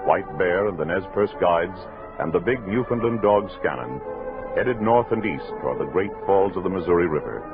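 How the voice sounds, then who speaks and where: A man narrating a scripted 1960s radio drama in a formal, declamatory announcer's delivery. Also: sits low. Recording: muffled old radio recording with music.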